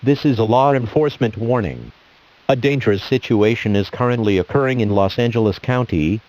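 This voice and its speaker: A man playing an emergency alert announcer, reading a scripted police warning in a formal, measured, official manner. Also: on the low side, darkish tone, natural voice. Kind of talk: scripted emergency broadcast narration. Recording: dull lo-fi audio.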